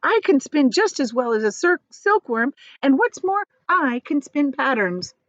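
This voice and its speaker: A boastful, eager lady spider from a storybook, put on by a woman reader. She sounds self-assured, with a high voice that leaps up and down. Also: sing-song, measured pace, fairly bright tone, dry recording, lo-fi sound, heavily acted. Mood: proud, eager. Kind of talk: children's storybook character reading.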